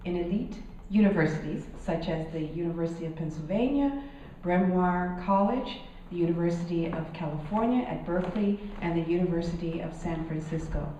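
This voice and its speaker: A woman's voice: fairly low pitch, fairly quick, mellow, a little hiss or hum. Woman host reading a formal introduction. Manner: formal, reads from paper. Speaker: event host. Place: small gallery room. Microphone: far camera. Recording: roomy, distant, dull lo-fi.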